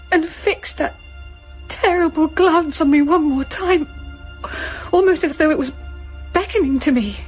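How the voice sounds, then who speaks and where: An actress playing a frightened, insistent Victorian gentlewoman in a radio play, with an intense, theatrical, breathy delivery. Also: high-pitched. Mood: horrified, haunted. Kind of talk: dramatic radio play acting.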